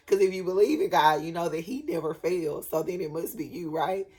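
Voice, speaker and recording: A woman with a cold and a raspy voice, speaking earnestly to camera in a personal vlog, with many pauses and restarts. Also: fairly low pitch, lively intonation, warm tone. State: sick with a head cold. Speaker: vlogger. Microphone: camera at desk, glancing at notes.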